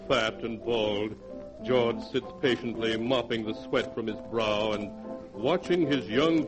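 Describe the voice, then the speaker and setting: A man gives measured, ominous narration for an old 1940s horror radio show. Loud music plays underneath his words. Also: medium pitch, poor audio. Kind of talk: scripted narration.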